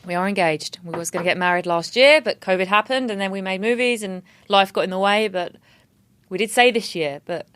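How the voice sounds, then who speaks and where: A woman's voice: mid-range voice, fairly quick, a bit bright, smooth, no room sound. A woman in her twenties with a South East London accent, chatting casually as a podcast guest, repeating words and laughing often. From England. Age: 20s. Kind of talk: casual interview chat.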